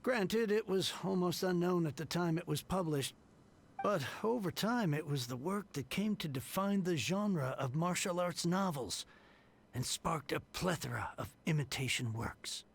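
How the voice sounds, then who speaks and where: An actor playing a grouchy older male book collector in a game, gruff and dramatic. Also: fairly high pitch, expressive, a little slow, heavily acted. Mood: admiring. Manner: gruff, dramatic, self-pitying. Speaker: game character.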